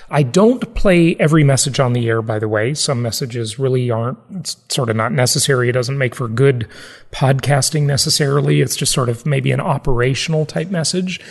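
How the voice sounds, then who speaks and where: An American man hosts a casual podcast in a fairly high voice with a noticeable creak. He talks in a chatty, informal way, dropping in asides as he addresses his listeners. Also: darkish tone. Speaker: podcast host. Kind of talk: casual solo podcast talk.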